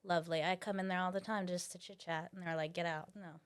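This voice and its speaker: A woman's voice: lowish voice, steady tone, fast talker, smooth. A woman hosting a casual interview, chatty and encouraging toward her guest. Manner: chatty and encouraging, laughs often. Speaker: interview host.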